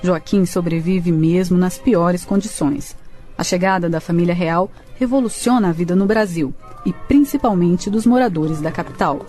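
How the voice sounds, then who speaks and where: A woman's voice: on the low side, normal speed, dark tone. A woman reading scripted storytelling narration for a radio drama. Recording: faint music behind.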